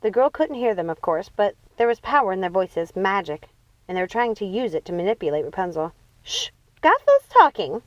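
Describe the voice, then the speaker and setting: A woman reading a children's fantasy novel aloud as expressive scripted narration. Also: mid-range voice, brisk, no room sound, highs cut off. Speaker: audiobook reader.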